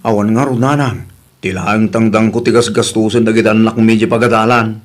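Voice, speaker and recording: A man's voice: fairly low pitch, steady tone, a little fast, lightly acted. A man acting a worried, earnest husband and father in an audio drama, with an Ilocano Filipino accent.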